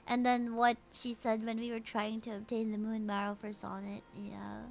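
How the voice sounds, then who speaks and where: A woman's voice: a little high, monotone, normal speed, creaky, a little hiss or hum. A woman livestreaming a story game, reading the subtitles aloud and reacting with open emotion.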